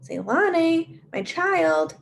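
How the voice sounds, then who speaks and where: A woman voicing a loving village mother with a high, sweet voice in a children's story. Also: very animated, extremely slow, gravelly, a little hiss or hum, heavily acted. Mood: sweet calling.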